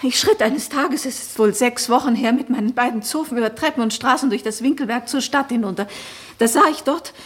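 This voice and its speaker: Troubled noblewoman, measured and earnest, slightly high voice; radio play. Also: husky. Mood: reflective. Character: noblewoman haunted by a strange idea. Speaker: Florentine, married noblewoman. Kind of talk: radio drama acting.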